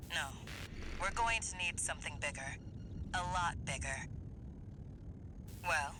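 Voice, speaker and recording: Brash woman mercenary operator, animated delivery. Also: low-pitched, unhurried. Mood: grim, resolute. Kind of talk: video game voice acting, mission briefing. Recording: loud game music and vehicle noise.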